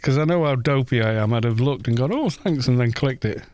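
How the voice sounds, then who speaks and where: A man's voice: mid-range voice, a little fast, a little mellow. Casual, rambling male game streamer. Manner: rambling, chuckling self-deprecation. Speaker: game livestreamer. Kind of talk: casual livestream chat.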